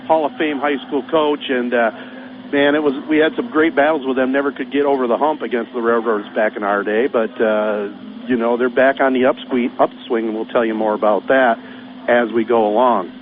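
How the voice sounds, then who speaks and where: A man with a Midwestern American accent calling a high school basketball game on local radio, folksy and chatty. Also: fairly even intonation, normal speed. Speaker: play-by-play announcer. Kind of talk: radio sports pregame talk. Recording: phone-like radio broadcast with faint noise.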